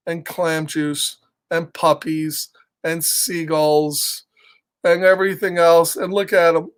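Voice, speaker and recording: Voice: mid-range voice, a bit bright. A children's storyteller reading a picture book casually, in simple repeated phrases, checking in with listeners.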